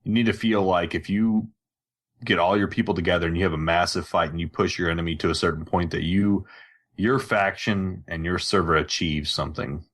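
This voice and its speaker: Low-pitched male podcast host, informal gamer conversation. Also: fairly even intonation, fairly quick, a bit bright. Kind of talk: casual gaming podcast chat.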